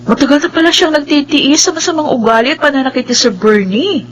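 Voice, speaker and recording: A woman's voice: sits high, fast talker, lightly acted. A woman acting a kind, attentive assistant, wife and mother in a radio drama, speaking politely and conversationally. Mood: sympathetic.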